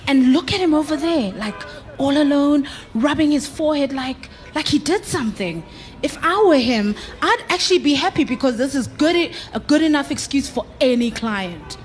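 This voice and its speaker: A hesitant, chatty office colleague acted by a woman in a radio drama. The voice is high, and the delivery is informal and gossipy. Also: animated, normal speed, faint music behind. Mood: suspicious. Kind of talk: live radio drama acting.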